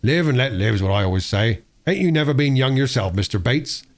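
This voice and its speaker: A man's voice: mid-range voice, lively intonation, a little fast, natural voice. A man voicing an easygoing, folksy old workman with rustic working-class speech in a storybook reading.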